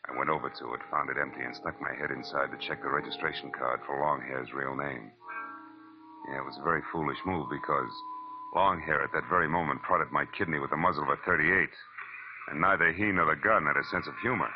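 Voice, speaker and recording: A man's voice: very deep voice, fairly quick, over music. A man acting a hard-boiled private detective in a 1940s radio noir, wry, sardonic and slangy, in both dialogue and narration. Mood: wry narrating. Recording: muffled, very noisy old radio recording.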